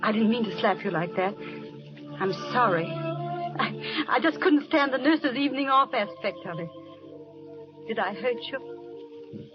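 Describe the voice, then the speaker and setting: An actress playing a young nurse in love and grieving, wistful and earnest, in 1930s radio drama style. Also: female voice, on the high side, lively intonation, measured pace, pauses often. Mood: tender, ardent. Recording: dull vintage radio recording, music behind.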